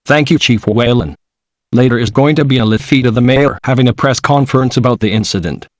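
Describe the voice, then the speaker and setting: A man's voice: medium pitch, fairly quick, dry recording, clean studio sound, lightly acted. A man playing a local television news anchor reading a scripted newscast. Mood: formal.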